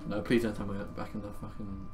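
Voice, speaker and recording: A man's voice: fairly low pitch, little pitch movement, quick pace. A young man giving casual, jokey let's-play commentary with goofy, sweary banter. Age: young adult. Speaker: gaming video co-host. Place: indoor room, webcam view. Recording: loud game music behind the voice. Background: horror game music.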